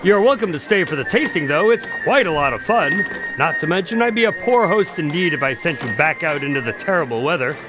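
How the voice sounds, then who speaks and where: A man's voice: fairly high pitch, animated, brisk, music in the background, close to an everyday voice. A man acting a genial, courteous doctor hosting a party in a radio drama, polite and a bit fussy. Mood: welcoming, flustered.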